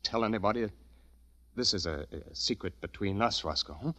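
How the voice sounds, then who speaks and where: An actor playing a lovesick, anxious young man who pleads haltingly in the emotional style of 1940s radio drama. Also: lowish voice, sharp tone, no room sound. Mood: nervous. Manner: halting, emotional, repeats himself when agitated. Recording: old radio recording, faint music.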